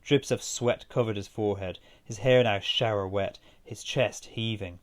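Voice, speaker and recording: A man's voice: on the low side, fairly even intonation, moderate pace. A man reading his own literary short story as scripted narration.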